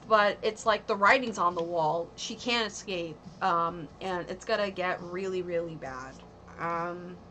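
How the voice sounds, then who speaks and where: A woman in her thirties talks through a tarot reading on a casual home livestream, her voice bright and forward. She rambles, hesitates and fills gaps with ums and likes, over a faint hiss in the background. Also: mid-range voice. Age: 30s. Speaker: tarot reader and streamer.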